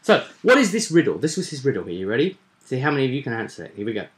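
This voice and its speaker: A man's voice: mid-range voice, very animated, racing. A man hosting a vidcast as game master, chatting casually and animatedly to viewers as he rambles through a roleplay session recap.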